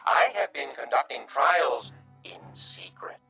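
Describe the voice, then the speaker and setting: Slow, timid alien, echoing. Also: male voice, medium pitch, lively intonation, gravelly, sharp tone, soft background music, exaggerated voice. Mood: reserved, cautious. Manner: stilted formal speech, hesitant and wary. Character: timid, courteous alien refugee from another dimension. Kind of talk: radio drama acting.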